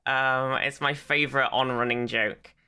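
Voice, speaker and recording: A man's voice: mid-range voice, steady tone, unhurried, noisy background. A young man co-hosting a podcast, rambling with restarts and fillers as he retells favourite moments. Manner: rambling, full of restarts and fillers, giggles while retelling jokes. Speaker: podcast co-host. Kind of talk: casual chat.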